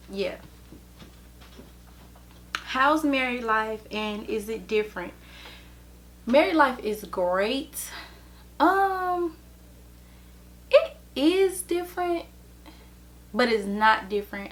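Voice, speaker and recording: Woman, thirties, slow pace, casual Q&A chat, lots of ums. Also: medium pitch, a bit bright. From Chicago and Detroit, USA. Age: 30s. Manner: slow, easygoing, with many ums. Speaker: vlogger and hairstylist. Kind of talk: casual Q&A vlog.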